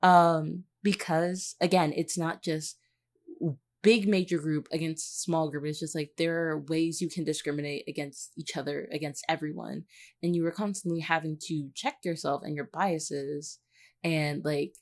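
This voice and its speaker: Very low-pitched woman in casual discussion. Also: steady tone, measured pace. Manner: informal, reflective, hedging with frequent 'like'. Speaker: voice actor, podcast guest. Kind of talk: casual analytical podcast chat.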